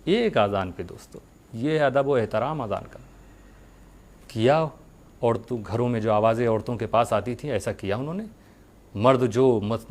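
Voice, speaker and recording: A man giving a religious sermon, speaking earnestly to his followers with rhetorical questions and deliberate pauses. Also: mid-range voice, darkish tone.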